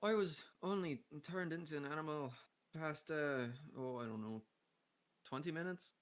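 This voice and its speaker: A man putting on an Irish accent to voice a chatty man turned into a pug, gravelly and full of curious questions. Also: on the high side, normal speed, lightly acted. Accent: put-on Irish.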